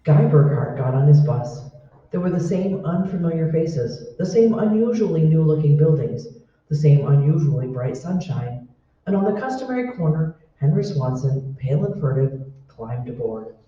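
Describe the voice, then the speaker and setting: A man reading scripted radio drama narration in a measured storytelling way. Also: a little high, expressive, warm tone. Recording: very roomy, distant, dull sound.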